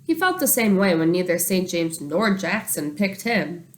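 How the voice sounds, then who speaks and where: A woman reading a children's book aloud like a teacher, expressive and animated, acting out the dialogue. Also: on the low side, unhurried.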